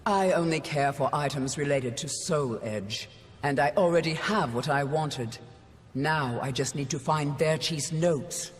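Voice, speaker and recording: A woman's voice: low voice, unhurried, breathy edge. An actress playing a stern, commanding warrior woman in a video game cutscene, speaking curtly and seriously. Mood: cool, aloof. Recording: loud music behind.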